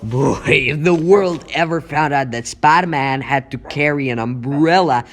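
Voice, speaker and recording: A man voicing an earnest high-school boy in a comic book dub, with a boyish, sheepish manner. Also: unhurried, slight background noise, lightly acted. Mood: private musing. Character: earnest, youthful male high-school student.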